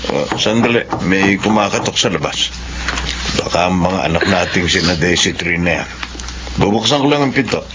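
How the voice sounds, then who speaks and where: An actor playing a calm, supportive middle-aged husband in a radio drama, giving gentle reassurance. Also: male voice, sits low, moderate pace. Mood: comforting. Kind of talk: Filipino radio drama acting. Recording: dull, noisy recording.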